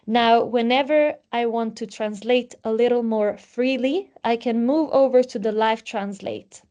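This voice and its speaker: A woman's voice: fairly high pitch, a little mellow. Woman presenting a product demo. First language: Italian. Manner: explanatory, with frequent um fillers. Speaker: webinar presenter.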